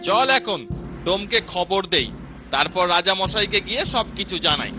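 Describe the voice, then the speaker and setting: High-voiced, wisecracking guard. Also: male voice, moderate pace. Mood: scoffing. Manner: animated, scoffing, bantering. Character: bossy, wisecracking cross-eyed male guard, comic sidekick. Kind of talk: comic cartoon voice acting. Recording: dull sound with clear music behind.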